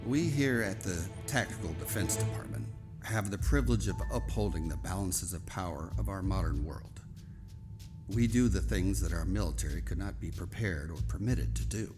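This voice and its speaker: A man's voice: sits low, moderate pace, music in the background, close to an everyday voice. A man acting a stern admiral who heads a military council, formal and authoritative. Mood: solemn, formal. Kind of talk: screen drama acting.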